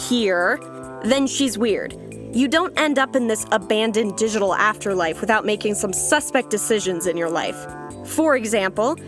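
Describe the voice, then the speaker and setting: A woman's voice: mid-range voice, close to an everyday voice. A woman playing a jaded, bossy resident of a digital afterlife in an audio comedy, dry, blunt and sarcastic. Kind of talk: scripted audio comedy drama.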